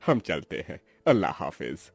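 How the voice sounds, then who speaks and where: A man's voice: deep voice, wildly swooping pitch, normal speed, gravelly, slightly rough, no echo, faint music behind, full character voice. A man voicing a poor, proud and pious older uncle in a sentimental cartoon drama, speaking earnestly. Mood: polite, reserved. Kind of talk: melodramatic cartoon voice acting.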